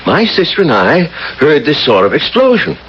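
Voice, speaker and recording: Nosy chatty neighbour, super animated, fussy, muffled old radio drama. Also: male voice, on the high side, normal speed. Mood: prying, excited. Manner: inquisitive, fussy, hesitant. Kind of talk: 1980 radio drama acting. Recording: muffled, noisy 1980 radio recording.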